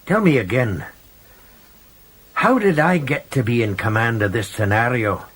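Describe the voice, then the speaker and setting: A man's voice: medium pitch, expressive, measured pace, a bit bright, slightly rough, pitched above the performer's own voice. A man voicing a stubborn, combative young cadet captain giving blunt orders in a science fiction audiobook. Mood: bewildered. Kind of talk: audiobook character dialogue.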